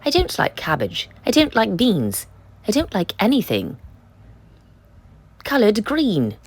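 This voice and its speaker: A woman's voice: mid-range voice, wildly swooping pitch, slow pace, husky, no echo, heavily acted. A woman voicing a fussy, stubborn little girl who complains emphatically in a children's picture book. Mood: disgusted, defiant. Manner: emphatic, complaining, rhyming protests.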